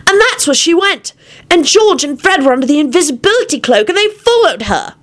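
A high, lively young boy who blurts and protests with dramatic indignation, put on by a woman reading aloud. He is eager and cheeky and will not be interrupted. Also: fairly quick. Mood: excited.

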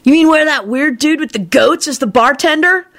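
A woman's voice: high-pitched, normal speed, a bit bright, pitched above the performer's own voice. A woman voicing a dim slacker dude, slangy, goofy and easily panicked. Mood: clueless.

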